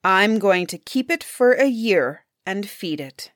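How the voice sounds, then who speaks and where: A woman's voice: mid-range voice, expressive, slow pace, fairly bright tone, dry recording, clean studio sound. A woman voicing a kindly but firm peasant hunter in a storybook tale. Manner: firm, mild-tempered.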